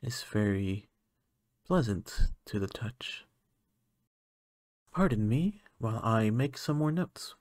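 A man voicing a curious, clinical alien scientist in a soft-spoken ASMR roleplay, measured and formal with hesitant moments. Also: on the low side, lively intonation, no echo, clean studio sound. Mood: intrigued, flustered.

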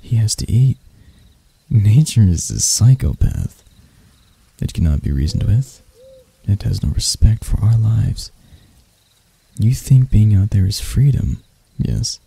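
A man voicing a deranged, seductive spider vampire in an intimate ASMR roleplay, soft and breathy, teasing and theatrical, doting yet menacing. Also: on the low side, big pitch swings, no room sound, full character voice. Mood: dark, persuasive. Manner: slow, teasing, theatrical, with dark chuckles.

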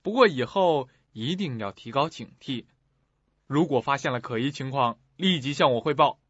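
A male actor plays an authoritative theater manager in a radio drama. He is official, firm and reassuring at a middle pitch. The sound is dry and slightly muffled. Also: animated, normal speed, a bit bright, breathy edge, no echo. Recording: dull, dry lo-fi audio.

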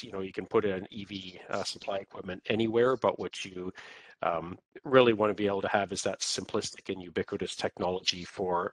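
Deep-voiced Canadian man presenting. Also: no room sound, rough recording. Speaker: webinar presenter. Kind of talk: informal slide presentation.

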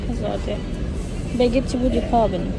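A young woman vlogging in casual chat, talking quickly and laughing often, filmed on a handheld phone in a car and then a shopping mall. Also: on the high side, creaky, over loud music, poor audio. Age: young adult. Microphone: handheld phone camera. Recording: busy mall crowd and road noise.

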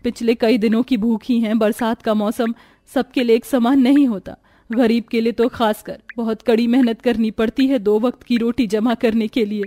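A woman's voice: a little high, fairly even intonation, moderate pace, mellow, natural voice. A woman voicing a poor, caring sister-in-law in a cartoon, with a humble, pleading manner. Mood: earnest.

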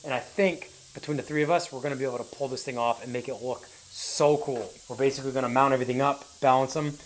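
A man in his thirties hosting a car vlog, talking fast and with energy while working in a tire workshop. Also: medium pitch, fairly even intonation, a little hiss or hum, rough recording. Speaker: car builder and vlog host. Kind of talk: casual on-camera vlog. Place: indoor tire workshop garage.

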